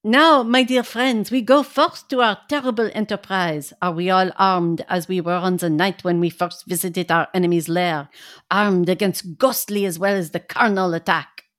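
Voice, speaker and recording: A woman's voice: lowish voice, steady tone, moderate pace, fairly bright tone, dry recording, studio quality, lightly acted. A woman voicing a fussy, earnest old male professor in an amateur dramatic reading.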